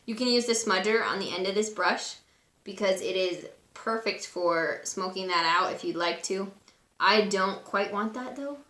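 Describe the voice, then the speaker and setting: A woman with a raspy voice today, casually talking through a makeup tutorial at home. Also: mid-range voice, moderate pace, echoey room. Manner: chatty, relaxed and instructive, with frequent fillers. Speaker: beauty vlogger. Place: room at home.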